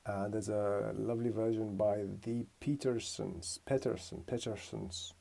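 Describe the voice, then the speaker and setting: Gravelly man musing through a lesson. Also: on the low side, fairly even intonation, unhurried, warm tone. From Romania. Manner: thinking aloud, self-correcting. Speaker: music teacher. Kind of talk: casual music lesson.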